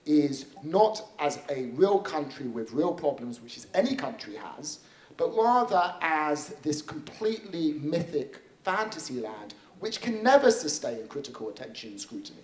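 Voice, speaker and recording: A man giving panel remarks at a conference, speaking thoughtfully with frequent pauses and restarts. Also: a little high, expressive, a little mellow, roomy sound. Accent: non-American (said). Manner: thoughtful, hesitant with many restarts. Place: conference hall.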